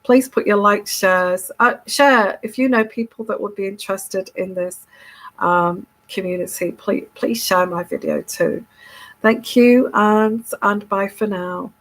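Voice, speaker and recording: A woman talks through a congested, bunged-up nose. Her pitch sits in an ordinary middle range, and she chats casually to her audience, checking in with a frequent "okay?" and tripping over repeated words. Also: a little slow. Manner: chatty, keeps tagging "okay?", many restarts. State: congested from a cold. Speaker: tarot reader hosting her channel. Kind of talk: casual solo video monologue.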